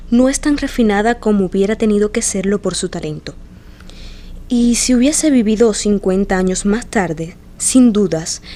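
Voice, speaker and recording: An actress playing a snobbish, refined niece in a radio drama, reading a letter in a formal, condescending manner. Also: female voice, medium pitch, warm tone, lightly acted. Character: snobbish, refined niece coolly judging her relatives.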